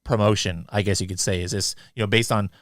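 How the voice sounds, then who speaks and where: A man's voice: on the low side, steady tone, fast talker, fairly bright tone, no room sound, studio quality. A man hosting a business podcast, asking loose, hedging questions full of fillers. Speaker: podcast host. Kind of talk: interviewing, casual questions.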